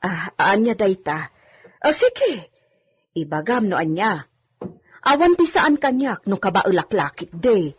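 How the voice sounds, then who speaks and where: A woman's voice: mid-range voice, sing-song, measured pace, long pauses, slightly rough. A woman playing a humble, deferential village housemaid who is easily flustered, in an Ilocano radio comedy drama. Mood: obliging. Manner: exclamatory, respectful, flustered.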